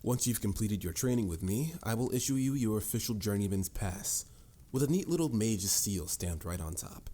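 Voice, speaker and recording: A man's voice: lowish voice, lively intonation, moderate pace, dark tone. A man playing a stern, formal mage mentor with a dry, lecturing manner in a scripted fantasy audio roleplay. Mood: instructive. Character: stern, dryly exasperated adult male mage mentor.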